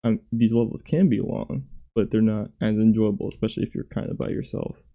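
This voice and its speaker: A hoarse man talks through his own game level as he plays it, halting and self-critical and full of fillers. His voice is very dark and gravelly, with a heavy fry at the bottom. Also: lowish voice, fairly even intonation. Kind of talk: gameplay commentary.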